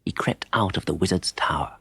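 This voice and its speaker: A man narrating a children's audiobook in an expressive, dramatic storytelling manner. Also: sits low, a little fast, vocal fry, breathy edge, no room sound.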